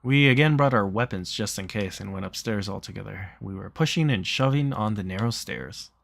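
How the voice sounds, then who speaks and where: Guy with a lowish voice reading a visual novel out loud, plain chatty delivery, pretty dry sound. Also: a little fast, vocal fry, no echo. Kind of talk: reading game story aloud with casual chat.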